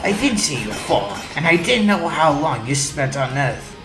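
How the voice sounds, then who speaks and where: A woman's voice: low-pitched, very animated, moderate pace, over music. A woman playing a proud, theatrical, loyal robot second-in-command, eloquent and dramatic, in a comic dub with a roomy echo. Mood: earnest, pleading.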